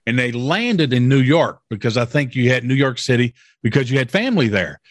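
A man's voice: medium pitch, lively intonation, brisk, no room sound, studio quality. A man hosting an interview who talks emphatically, repeats his points and often checks in with "okay?". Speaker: interview host. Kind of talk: promotional interview.

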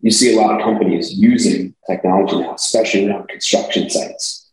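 Deep-voiced man in an echoey room. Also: brisk. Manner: explanatory, businesslike, relaxed. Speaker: company executive, guest. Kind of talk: casual interview answers.

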